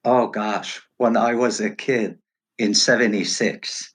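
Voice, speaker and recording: A middle-aged man from Turkey answering interview questions casually as a guest, in halting, broken phrases. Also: on the low side, steady tone, fairly bright tone. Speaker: podcast guest, hairstylist. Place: virtual call.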